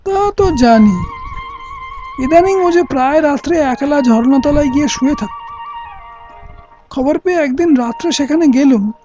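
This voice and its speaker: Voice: high voice, lively intonation, normal speed, pauses often. An actor playing a stern, suspicious king in an audio play, speaking in a formal, questioning, imperious way. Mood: brooding, displeased. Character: stern, suspicious king, guarded about his rebellious heir. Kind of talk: scripted Bengali audio play acting. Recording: dull lo-fi recording with music.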